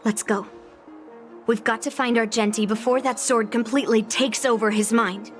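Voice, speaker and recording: A woman's voice: fairly high pitch, steady tone, normal speed, lightly acted. An actress playing a determined young woman in a game, urgent and direct, with music behind.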